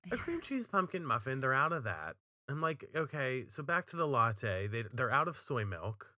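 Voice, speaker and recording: A man's voice: mid-range voice, big pitch swings, normal speed, pushed and bright, no room sound. A man co-hosting a podcast from his home studio, telling stories in casual chat with dramatic mock outrage. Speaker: podcast co-host.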